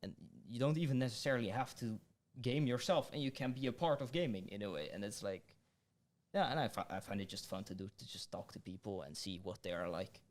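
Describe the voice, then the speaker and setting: A young man hosting a student podcast, speaking hesitantly with many pauses and giggles. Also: on the low side. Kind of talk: casual interview chat.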